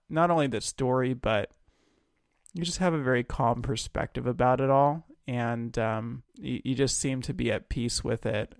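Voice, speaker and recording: A man's voice: mid-range voice, creaky, no room sound, clean studio sound. A man hosting an interview, responding earnestly and appreciatively to his guest. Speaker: podcast host. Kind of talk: interview conversation.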